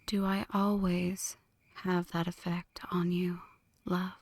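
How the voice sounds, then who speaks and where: A hushed, low-pitched vampire woman, acted by a woman for an ASMR roleplay. She speaks very slowly and breathily in poetic phrases, flirty yet brooding. The sound is close and dry. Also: level tone, no echo. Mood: teasing, seductive. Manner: slow, teasing, poetic. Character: flirty, brooding female vampire, seductive and melancholic. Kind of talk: ASMR roleplay, scripted dramatic monologue.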